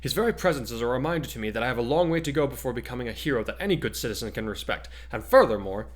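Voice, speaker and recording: A man's voice: medium pitch, expressive, rapid-fire, close to an everyday voice. An earnest teenage boy training as a hero, played by a man in a dramatic story reading. He speaks formally and firmly, with careful courtesy.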